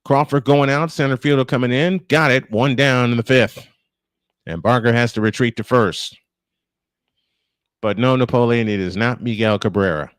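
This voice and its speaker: Male play-by-play host, medium pitch, dry sound, conversational live sports commentary with banter. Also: moderate pace, clean tone, no room sound.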